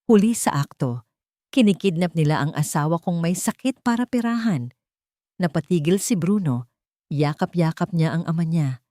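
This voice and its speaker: Expressive, lower-voiced woman telling a drama. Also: normal speed, no echo, studio quality. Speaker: storyteller. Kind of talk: dramatic scripted story narration.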